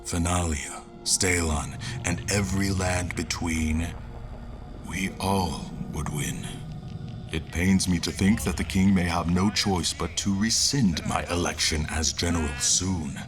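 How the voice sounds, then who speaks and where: An actor playing a grave war general, speaking in a solemn, deliberate and theatrical manner in a fantasy audio drama. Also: male voice, deep voice. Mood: pained, loyal. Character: grave male war general, devout seeker of a lost dream. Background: music.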